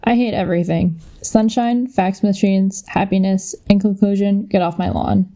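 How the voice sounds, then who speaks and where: Grumpy old woman, played by a woman, radio comedy, very dark mellow tone. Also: mid-range voice, fairly even intonation, normal speed, natural voice. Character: grumpy old woman who hates everything. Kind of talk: comic radio play monologue.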